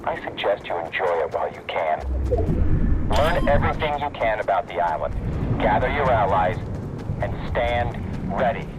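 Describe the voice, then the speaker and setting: Guy doing a earnest scientist leader from a video game, solemn and heartfelt, lowish lively voice, noisy behind him. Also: moderate pace, long pauses, natural voice. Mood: solemn, stirring. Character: earnest wartime scientist leader rallying allies, grave and hopeful. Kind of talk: scripted game story monologue.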